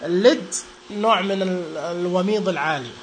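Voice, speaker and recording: A man's voice: high-pitched, fairly quick, slight background noise. A young man casually walking through phone accessibility settings, chatty and joking, echoing the screen reader's words. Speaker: tech reviewer demonstrating phone accessibility settings. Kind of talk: casual spoken walkthrough.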